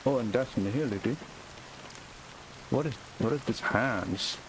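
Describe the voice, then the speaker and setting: A man's voice: medium pitch, unhurried, a little hiss or hum, rough recording. A man doing casual gaming commentary, reacting with short questioning asides as he plays. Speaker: gaming streamer. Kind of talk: casual game playthrough commentary. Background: game music and rain sounds.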